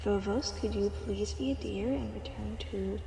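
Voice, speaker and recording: A woman's voice: mid-range voice, moderate pace, creaky, dark tone, a little hiss or hum, natural voice. A woman playing a purgatory tour guide in an audio roleplay. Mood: soft, placating. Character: tour guide of purgatory, by turns snappy and soothing. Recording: roomy, echoing, reverberant sound.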